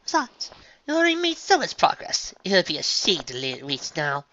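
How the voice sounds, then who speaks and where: A narrator voicing a refined, fussy young female unicorn dressmaker who speaks in a genteel, dramatic, gently chiding way. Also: mid-range voice, wildly swooping pitch, a little fast, highs cut off, slight background noise, pitched above the performer's own voice. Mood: stern. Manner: genteel, dramatic, proper speech.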